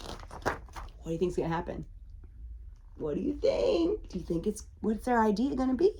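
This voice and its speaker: A woman's voice: medium pitch, very animated, normal speed, background noise. A woman reading a children's picture book aloud to preschoolers, dramatic and emphatic, asking the listeners questions. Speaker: story reader for preschoolers.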